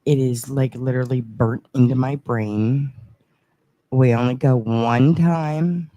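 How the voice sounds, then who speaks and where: A woman's voice: extremely low pitch, takes it slowly, warm tone, dry recording. A woman in her forties co-hosting a podcast, chatting casually and earnestly about family traditions. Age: 40s. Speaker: podcast co-host. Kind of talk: casual chat.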